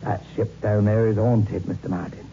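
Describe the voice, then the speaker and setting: An actor playing a grave local police sergeant who tells ghost tales in a folksy, ominous manner, in 1960s radio drama style. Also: male voice, lowish voice, level tone, moderate pace, mellow, lightly acted. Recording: muffled, hissy old radio recording.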